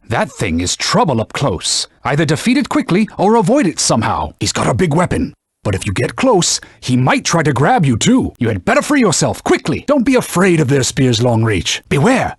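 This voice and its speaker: A man's voice: fairly high pitch, breathy edge, no room sound, studio quality, full character voice. A man voicing a haughty magic sword in a video game, mentoring a young knight with pompous, scolding, archaic knightly speech.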